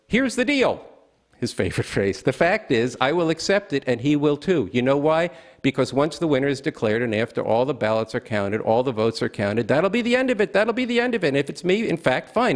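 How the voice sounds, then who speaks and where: A man hosting a political discussion as an activist, speaking emphatically and haltingly, often restarting his sentences. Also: medium pitch, vocal fry. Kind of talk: impassioned political conversation at a streamed event.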